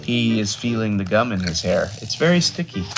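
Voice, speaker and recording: A woman hosting a picture book read-aloud, playful and expressive, with casual asides to a child. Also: rumbling low, a little fast. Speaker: read-aloud show host. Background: music.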